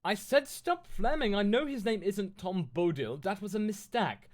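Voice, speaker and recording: A man performs a bratty goth teenage girl with a high-flung, indignant voice. The delivery is whiny and over-emphatic, leaping up and down in pitch like a mock-dramatic fanfiction reading. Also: expressive, moderate pace.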